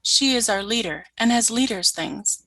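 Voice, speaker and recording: A woman playing a flat, obedient alien soldier. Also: a little high, moderate pace. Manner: flat, literal short replies. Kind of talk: amateur radio play.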